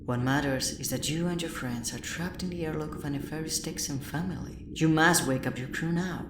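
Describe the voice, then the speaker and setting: An actor playing a hallucinated guardian angel, cryptic and urgent, speaking in a measured, theatrical way. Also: male voice, a little high, natural voice. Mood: cryptic, insistent. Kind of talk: sci-fi audio comedy acting. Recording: echoey, distant, noisy ambience.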